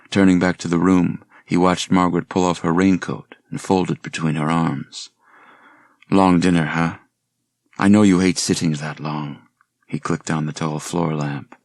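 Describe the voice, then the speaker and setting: A man reading a suspense horror novel as a narrator, measured and dramatic, with a gravelly voice. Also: deep voice, a little mellow, no room sound. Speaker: audiobook narrator.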